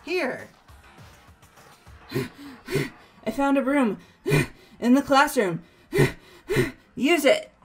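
An anxious, excitable teenage girl acted by a woman speaks in a high, swooping voice. She is flustered and pleading in her visual novel dialogue, over soft game music. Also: very slow, long pauses, full character voice. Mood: urgent.

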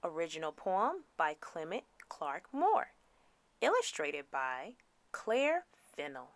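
A woman storyteller reading a rhyming picture book aloud to children, theatrical and deliberate, with dramatic emphasis. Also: sits low, huge pitch swings, dry recording. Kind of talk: expressive read-aloud of a rhyming children's poem.

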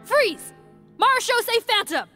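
An actress playing a stern, commanding young policewoman in an animated fantasy game, authoritative and forceful. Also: extremely high pitch, slow pace, faint music behind, exaggerated voice.

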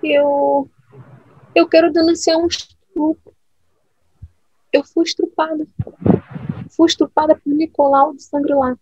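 A distressed, subdued woman played by an actress in an audio drama. Her high voice is flat and mellow, in a dull recording with faint noise. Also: measured pace, pauses often.

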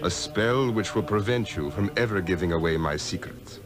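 An actor playing a scheming, arrogant sorcerer's apprentice in a radio drama, with a pompous, theatrical delivery. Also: male voice, deep voice, music in the background, natural voice. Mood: gloating, menacing. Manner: pompous, theatrical, drawn-out incantations. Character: scheming, arrogant male sorcerer's pupil, villain. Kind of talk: 1960s-era radio drama acting.

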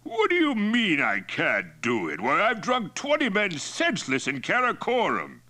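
A man's voice: high voice, wildly swooping pitch, a little slow, pushed and bright, pitched above the performer's own voice, exaggerated voice. A man voicing a hearty, boastful Mongol chieftain in an audiobook, blustering and jovial with an edge of menace. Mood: boastful, drunk. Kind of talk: acted dialogue in audiobook narration.

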